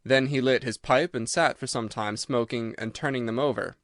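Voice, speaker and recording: A man narrating a classic story from a script in a measured, literary storytelling manner. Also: mid-range voice, steady tone, a bit bright, dry recording, clean studio sound. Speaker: audiobook narrator.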